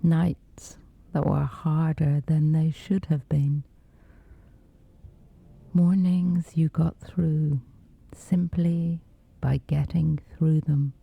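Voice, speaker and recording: A woman gently guiding a scripted sleep meditation in a soothing, breathy whisper, unhurried throughout. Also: deep voice, fairly even intonation, dull audio. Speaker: meditation guide.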